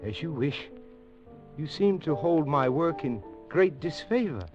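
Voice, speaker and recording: An actor playing a coolly polite police inspector with formal, insinuating speech in a 1940s radio drama. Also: male voice, a little high, lively intonation, unhurried. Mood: smooth, needling. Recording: dull old radio recording, music behind.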